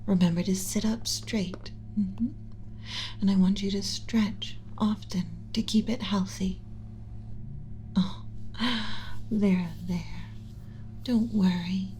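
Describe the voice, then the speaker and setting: Soft, mellow alien mother ASMR, acted by a woman. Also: medium pitch, slight background noise, close to an everyday voice. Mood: tender, soothing. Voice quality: breathy, soft, often whispering. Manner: soothing, deliberate, clinical yet tender. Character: doting alien mother lecturing on her human pet. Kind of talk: ASMR roleplay, partly whispered.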